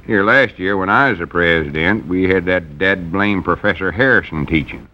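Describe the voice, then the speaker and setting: A man plays a low-voiced, bashful old country storekeeper in a vintage radio comedy, with a drawl that is folksy and easily flustered. The sound is dull and muffled, like an old radio broadcast. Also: moderate pace, natural voice. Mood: grumbling. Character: fussy, bashful old rural male storekeeper. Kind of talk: 1930s-50s radio comedy acting.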